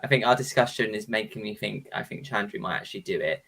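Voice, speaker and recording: A man's voice: fairly low pitch, fast, vocal fry, bright, forceful tone. A man co-hosting a casual prediction show, thinking aloud in a hesitant way. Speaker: show co-host. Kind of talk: casual chat about match predictions.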